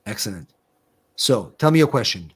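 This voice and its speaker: A man's voice: lowish voice, very animated, moderate pace, husky. A middle-aged man from Israel with an Israeli accent, an attorney hosting a live question-and-answer stream, talking casually with many fillers and restarts.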